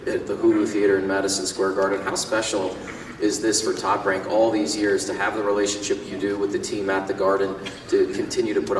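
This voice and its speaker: A man's voice: fairly low pitch, a little hiss or hum. Guy moderating a press conference, echoey room, kinda far from the mic. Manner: smooth, leading questions with fillers.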